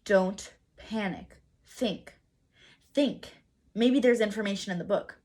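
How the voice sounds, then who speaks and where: A woman's voice: mid-range voice, takes it slowly, a little mellow. A woman voicing an anxious, bookish young boy in a storybook, speaking with urgency. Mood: nervous self-coaching. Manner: urgent, self-coaching exclamations.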